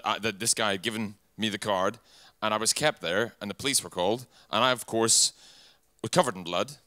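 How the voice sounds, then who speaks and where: A man's voice: lowish voice, sharp tone, no room sound, clean studio sound. A man with an Irish accent telling a blunt, matter-of-fact personal story. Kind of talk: personal anecdote.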